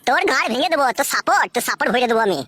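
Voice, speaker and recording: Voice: high voice, expressive, rapid-fire, noisy background. A performer voicing a resentful young married son in a comedy cartoon, complaining coarsely. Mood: angry, resentful. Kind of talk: village comedy cartoon dubbing. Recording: music behind the voice.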